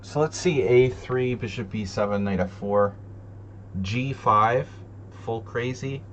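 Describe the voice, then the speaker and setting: Slow-talking man, dull humming recording. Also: medium pitch. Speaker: chess streamer. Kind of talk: thinking-aloud chess commentary, casual. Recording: dull lo-fi sound, loud hum.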